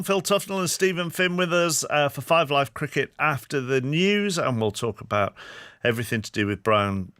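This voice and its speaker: A man's voice: medium pitch, a bit bright, no room sound, clean studio sound. An English man hosting live sports radio in a conversational presenting style.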